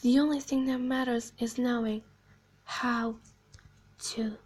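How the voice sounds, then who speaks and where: A woman voicing an eerie animatronic girl clown from a video game, soft-spoken, falsely sweet and sinister, speaking in a slow, deliberate, hushed storytelling manner. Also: a little high, fairly even intonation. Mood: secretive, sly. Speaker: amateur voice actor. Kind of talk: video game character monologue.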